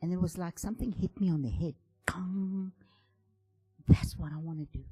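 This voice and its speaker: A man, a composer and bass player, tells his personal story in a conference talk, reflective and halting, often dropping to a hushed voice. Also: fairly even intonation, husky. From South Africa.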